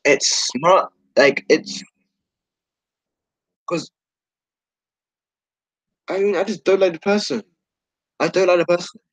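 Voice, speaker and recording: A tired sixteen-year-old boy rambling casually to his viewers, halting, repeating himself and trailing off mid-sentence. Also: sits high, a bit bright, dry recording, rough recording. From United Kingdom. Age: 16, teenager. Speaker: video creator talking to subscribers.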